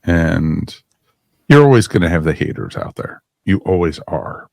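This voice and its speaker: A man's voice: deep voice, big pitch swings, measured pace, gravelly, a little mellow, no room sound, studio quality. A man hosting a casual podcast discussion, chatty and enthusiastic, often laughing. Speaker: podcast host.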